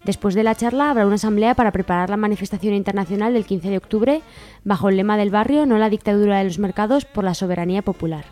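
A woman's voice: mid-range voice, fast, a little mellow. A woman from reading scripted announcements of upcoming local events as a radio presenter.

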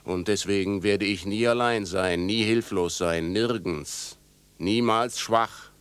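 A man's voice: lowish voice, takes it slowly, a bit bright, clean tone, no room sound. A man playing an insistent, menacing night-time stranger in a German radio play, talking in repetitive rhetorical bursts.

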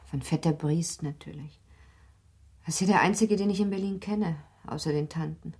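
Very husky, low-voiced young wife. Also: female voice, normal speed, darkish tone. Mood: coy, teasing. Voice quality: husky, breathy. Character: young wife of eighteen, girlish yet guarded. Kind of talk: German radio play acting.

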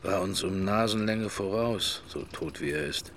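A very low-pitched man muses inwardly in a radio drama. His lines come in short, wry, pensive fragments, like private thoughts at a funeral. There is faint noise behind him. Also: unhurried, fairly bright tone. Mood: wry musing. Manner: clipped, fragmentary musing, wry. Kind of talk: radio drama interior monologue.

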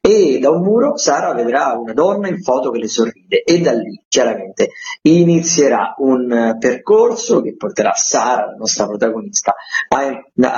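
A man giving a semi-improvised radio round-up of events as a critic, with hesitant, drawn-out phrasing. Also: medium pitch, a little mellow. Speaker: theatre critic reporting a weekly events agenda. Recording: echoey, dull lo-fi radio feed.

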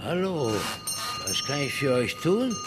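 An actor playing an unhurried, helpful local man, deliberate and laconic, in a naturalistic radio drama. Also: a little high, big pitch swings. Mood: calm. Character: unhurried, helpful male local giving directions. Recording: long reverb, faint background noise.

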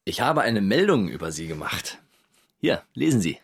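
An actor playing a gruff, smug ship's helmsman, a superior, with a husky voice in a radio drama. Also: lowish voice, very animated, moderate pace, heavily acted. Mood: smug, teasing.

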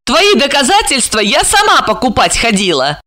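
A high, bright young woman's voice put on by a female narrator plays a spurned, hot-tempered mistress in an audiobook. She is shrill and theatrical, swinging widely between scorn and pleading. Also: big pitch swings, moderate pace, heavily acted. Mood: contemptuous, spiteful. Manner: shrill, mocking, theatrically emotional.

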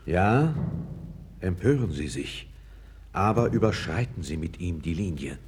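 Deep, grave royal councillor. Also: male voice, animated, darkish tone. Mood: earnest, urging. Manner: measured, grave, deferential yet frank. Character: calm, candid foreign court councillor to a king. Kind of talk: 1960s German radio play acting.

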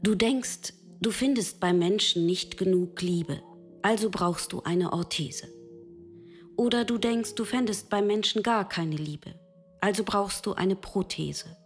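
A woman playing a lucid, patient machine in a literary radio play, lecturing the listener directly and deliberately. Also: medium pitch, soft background music, natural voice. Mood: probing, instructive. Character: love-capable female machine, lucid, patient, lecturing philosopher.

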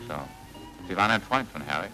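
Stubborn investigator, low pitch, bright forceful tone, terse, insistent, radio drama. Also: male voice, expressive, fast talker, soft background music, exaggerated voice, poor audio. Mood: doubtful. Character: blunt, stubborn foreign pulp novelist investigating a friend's death.

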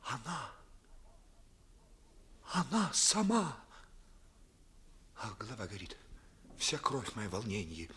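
A proud, wounded young gentleman declaims in slow, theatrical verse. His voice is very husky and rises and falls widely with bitter passion, in the manner of 1950s stage radio drama. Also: medium pitch, sing-song, heavily acted. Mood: agitated, brooding. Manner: declamatory, agitated, theatrical monologue. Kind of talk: 1950s Russian classical radio theatre acting.

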